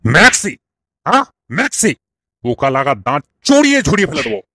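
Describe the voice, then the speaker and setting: Man playing a high-voiced, cranky old schoolmaster. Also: wildly swooping pitch, brisk, bright, forceful tone, no room sound, clean studio sound, exaggerated voice. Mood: indignant ranting. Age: older. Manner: ranting, exasperated, theatrical scolding with rhetorical questions. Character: cranky old bald schoolmaster, scolding his pupils. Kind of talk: comic cartoon dialogue.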